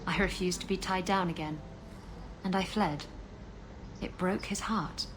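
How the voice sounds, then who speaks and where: Woman playing a flippant, slow-talking worldly adventuress. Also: lowish voice, fairly even intonation, noisy background, close to an everyday voice. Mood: wry, bitter. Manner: dry, flippant. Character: worldly flippant adventuress, widowed, guarded about love. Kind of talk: video game voice acting.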